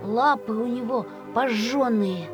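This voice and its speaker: Audio play casting: actress as small country boy, high voice, hushed and halting, earnest, plaintive. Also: music in the background, natural voice. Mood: pleading. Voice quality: hushed, husky half-whisper. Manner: slow, halting, plaintive speech. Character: tearful little village boy, earnest and tender.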